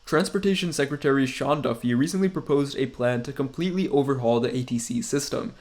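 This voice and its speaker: A young man giving a scripted, measured narration for an aviation explainer. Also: medium pitch, a little mellow. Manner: measured, informative delivery.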